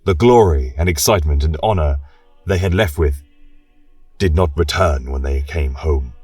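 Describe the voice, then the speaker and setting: A man's voice: very deep voice, moderate pace, a little mellow, breathy edge, distant mic. A man narrating a scripted fantasy tale in a solemn, dramatic manner. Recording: echoey room, music behind. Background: choral music.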